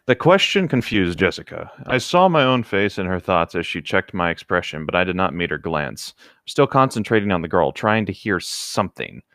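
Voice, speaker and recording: Deep-voiced man with lively intonation. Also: normal speed, few pauses, no room sound, studio quality. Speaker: co-host of a book read-aloud show. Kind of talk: reading a novel aloud with casual joking banter.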